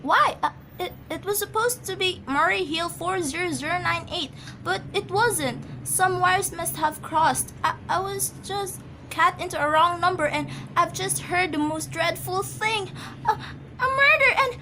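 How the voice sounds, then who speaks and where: Shrill, very high-voiced nervous invalid wife played by an actress. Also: female voice, measured pace, pushed and bright, heavily acted. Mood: horrified. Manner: stammering, agitated, pleading. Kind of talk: amateur radio drama acting. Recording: noisy amateur radio play recording.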